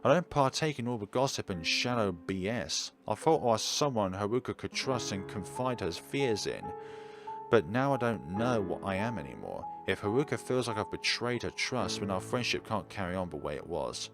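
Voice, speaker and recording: A man's voice: fairly low pitch, very animated, moderate pace, over music. A man reading an anguished, self-blaming teenage schoolboy who pleads and narrates his own story in a visual novel. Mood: despairing.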